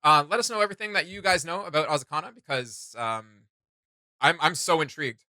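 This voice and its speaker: A man's voice: on the high side, fairly quick, pushed and bright, dry recording. A man hosting a reaction video, chatting casually about game lore in an emphatic, rambling, questioning way. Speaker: reaction channel host. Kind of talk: casual chat, discussing game character lore.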